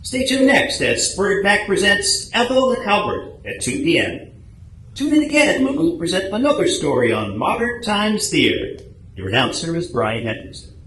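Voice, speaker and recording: A man's voice: sits high, sing-song, normal speed, sharp tone. A man announcing from a script in an old-time radio style. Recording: echoey stage recording, clear noise.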